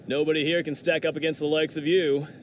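A man's voice: fairly high pitch, little pitch movement, fast talker, slight background noise, close to an everyday voice. A man playing an earnest, rueful, talkative martial arts contestant in a video game. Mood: hearty, rueful.

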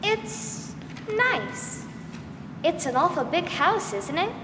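A woman's voice: very high-pitched, sing-song, slow, heavily acted. A young woman acting an innocent, curious little girl in a 1940s-style radio drama. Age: young adult. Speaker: student actor. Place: school theatre stage. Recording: echoey hall from far off, noisy.

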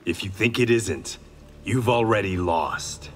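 A resolute young swordsman voiced by a man with a lowish voice. He sounds composed and firm, with game music clearly behind him. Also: unhurried, close to an everyday voice.

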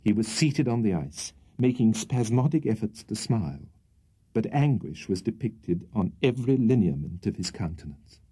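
A man's voice: on the low side, big pitch swings, normal speed, warm tone, breathy edge. A British man reading a literary audiobook as storyteller, in a precise, theatrical manner. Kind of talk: scripted literary audiobook narration.

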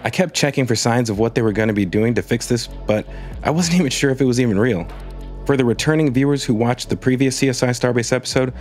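A man's voice: mid-range voice, darkish tone. A man narrating a scripted space news video, telling the story with wry comic asides.

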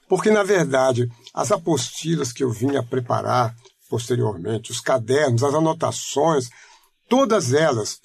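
A man in his seventies from Brazil, speaking with a Rio de Janeiro accent and giving a measured, reflective oral history interview. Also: on the high side, gravelly, darkish tone, slightly rough. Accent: Rio de Janeiro Portuguese. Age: 70s. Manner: measured, reflective, explanatory, frequent "né?" tags. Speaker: interviewee, retired teacher.

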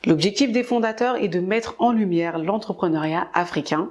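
Young woman host, clear upbeat scripted delivery, lapel mic in a studio. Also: mid-range voice, moderate pace. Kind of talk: scripted presenting to camera. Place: studio with light backdrop.

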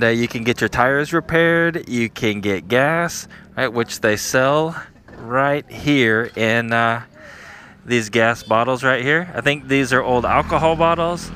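Easygoing middle-aged man, casual tips from the saddle, clear engine and street noise around him. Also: medium pitch, pushed and bright. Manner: easygoing, chatty, lots of "right?" tags. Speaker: motovlogger. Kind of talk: casual how-to narration while riding. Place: on a motorcycle in city traffic outdoors. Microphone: helmet or handlebar action camera.

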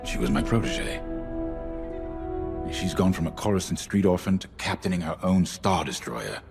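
An actor playing a middle-aged rebel commander in a video game, authoritative, measured and secretive. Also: male voice, low voice, lively intonation, over music. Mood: grave, guarded. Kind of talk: video game briefing dialogue.

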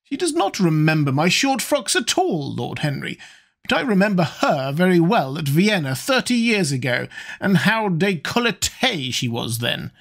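A man's voice: high voice, very animated, normal speed, creaky, a bit bright, pitched above the performer's own voice, exaggerated voice. A man doing a fussy old aristocratic lady. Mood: incredulous. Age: older. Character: talkative elderly aristocratic hostess, scolding.

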